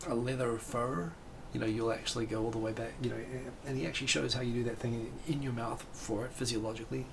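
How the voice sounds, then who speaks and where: A man's voice: medium pitch, creaky, noisy background. A man, hosting a livestream from a home room and chatting casually in a rambling way. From New Zealand. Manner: rambling, animated hand gestures. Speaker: livestream host. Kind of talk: casual chat.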